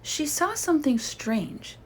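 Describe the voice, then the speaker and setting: A woman reading a children's storybook aloud in an expressive, suspenseful storytelling manner. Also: sits high, slow pace, slight background noise, low-quality recording.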